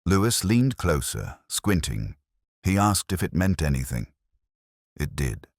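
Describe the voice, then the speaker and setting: A man reading a first-person mystery story in a measured, reflective way. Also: sits low, sing-song, no echo, studio quality. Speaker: narrator.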